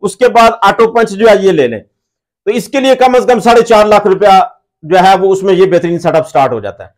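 A man's voice: high-pitched, animated, fairly quick. A man in his thirties with a Pakistani accent, explaining things at length as an interview guest. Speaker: machinery supplier, interview guest. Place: small brick-walled workshop room indoors. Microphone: lapel.

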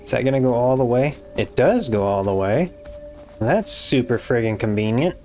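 A hoarse young man with a raspy voice, casually commenting on his game as he builds, muttering to himself and swearing when things go wrong. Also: mid-range voice, animated, normal speed, darkish tone, soft background music. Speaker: gaming YouTuber. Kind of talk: casual let's-play commentary.

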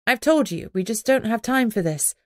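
A woman's voice: fairly high pitch, lively intonation, moderate pace, dry recording, clean studio sound, voice pushed up, lightly acted. Firm, commanding female alpha werewolf, read by a woman. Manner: firm, instructing.

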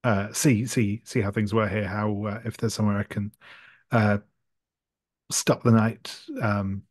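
A man's voice: lowish voice, little pitch movement, unhurried, no echo, clean studio sound. A man playing a polite, awkward traveller in a tabletop roleplay, hesitant and stammering. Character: polite, awkward male traveller, unsure and mild. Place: home room on a video call.

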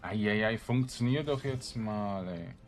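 A man's voice: on the low side, slow pace, soft background music. A man giving casual let's-play commentary, grumbling in exasperation and muttering to himself as he plays. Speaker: video game streamer.

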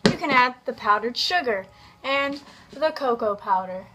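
A young adult woman giving a casual, comedic cooking tutorial in a home kitchen, with playful asides and drawn-out words. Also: fairly high pitch, expressive, pushed and bright, slightly rough, poor audio. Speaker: host of a home cooking video. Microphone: camera on counter at about arm's length. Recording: background kitchen noise, clicks.

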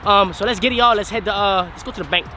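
A young man with a stuffy, nasal voice hosts a hyped, slangy vlog and keeps calling his viewers guys. His pitch sits high for a man. He talks over clear road and car noise. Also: fast. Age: young adult. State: stuffy-nosed from a sinus infection. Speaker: vlog host. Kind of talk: casual vlog chat. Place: outdoors on a road, then in a car.

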